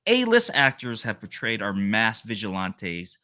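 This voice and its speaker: A man's voice: fairly low pitch, wildly swooping pitch, measured pace, pushed and bright, no echo, clean studio sound. A man co-hosting a comic book podcast, reading a scripted sales rundown and joking with his co-hosts. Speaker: podcast co-host.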